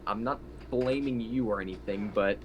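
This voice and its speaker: A man playing a tabletop roleplaying game, talking casually and haltingly, partly in character. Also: on the low side, highs cut off. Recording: noisy home mic.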